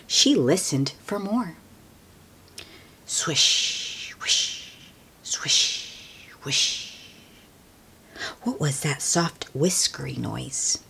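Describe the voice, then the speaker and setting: Slow, expressive woman reading a storybook. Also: low voice, a little hiss or hum. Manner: slow, expressive, hushed at times, explains words simply. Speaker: children's book read-aloud host. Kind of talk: storybook read-aloud with asides to children.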